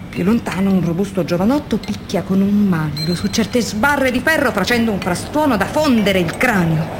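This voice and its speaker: A woman's voice: medium pitch, lightly acted. Actress as intense woman factory worker, noisy recording. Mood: observant, tender. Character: earnest, weary woman factory worker recounting her labour. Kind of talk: dramatic radio monologue of diary and letters. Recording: noisy radio drama with background ambience.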